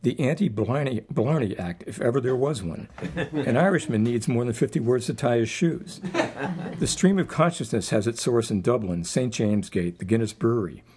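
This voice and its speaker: A man speaking informally as a poet at a live reading, rambling and self-deprecating, with a husky voice. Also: mid-range voice, moderate pace, darkish tone, a little hiss or hum. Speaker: poet introducing and reading own poem. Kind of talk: informal live poetry reading introduction with anecdotes.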